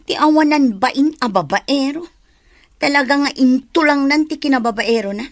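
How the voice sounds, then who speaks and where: A woman's voice: sits high, moderate pace, no room sound, studio quality, natural voice. A woman playing a blunt, gossipy, scornful young cousin in an Ilocano radio drama.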